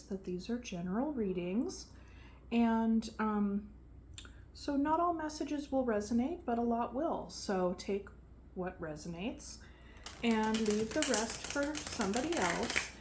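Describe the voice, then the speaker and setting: A soft-spoken woman giving a casual tarot reading, chatty and relaxed with frequent fillers. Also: on the high side, unhurried. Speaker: tarot reader. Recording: faint background noise.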